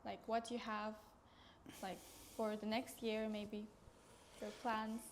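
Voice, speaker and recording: A woman's voice: on the high side, monotone, slow pace. A young woman hosting a student podcast interview, speaking hesitantly with many fillers and little giggles, in a small office room. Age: young adult. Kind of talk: casual interview.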